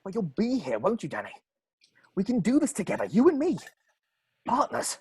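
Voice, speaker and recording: A man's voice: high voice, wildly swooping pitch, moderate pace, a little mellow, slightly rough. A man reading an earnest, urgent part in an amateur table read over a video call.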